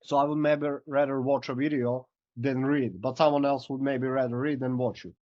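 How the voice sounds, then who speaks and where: A man speaks as an in a casual coaching call, hoarse and running low on voice. His explanations wander with "like" and "uh". The video-call sound is dry and dull. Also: steady tone, moderate pace, darkish tone, dry recording. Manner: loose, filler-heavy explaining. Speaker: coach giving feedback.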